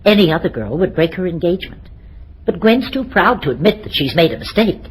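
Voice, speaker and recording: A woman's voice: fairly low pitch, sing-song, a little fast, breathy edge. A woman playing a kindly, proper, concerned older aunt in an old-time radio drama, gracious and confiding. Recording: noisy vintage radio drama recording.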